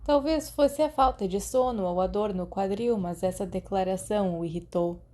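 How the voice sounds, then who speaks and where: Brazilian woman reading a story for an audiobook, soft dark relaxed tone, medium pitch. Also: normal speed. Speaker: audiobook narrator. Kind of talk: scripted fiction narration.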